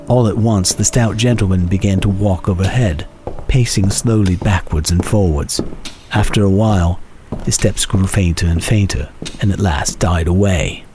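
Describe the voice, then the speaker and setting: A man giving a measured, theatrical dramatic reading of a classic short story as its narrator. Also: low voice. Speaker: narrator, reader.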